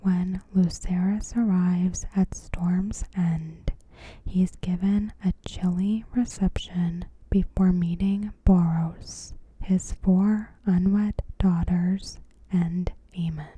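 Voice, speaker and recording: A woman whispering softly in an ASMR style, reading scripted commentary in a hushed, unhurried, breathy voice. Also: on the low side, steady tone. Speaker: ASMR host.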